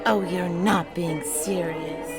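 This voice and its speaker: An actress playing a spirited young 1920s woman who talks in brisk, teasing period slang. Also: lowish voice, fairly even intonation. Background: music.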